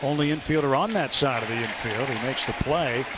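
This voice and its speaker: A man doing live radio play-by-play baseball commentary in measured, short phrases with pauses, as the announcer. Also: mid-range voice, crowd noise. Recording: clear stadium noise behind. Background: outdoor ballpark ambience.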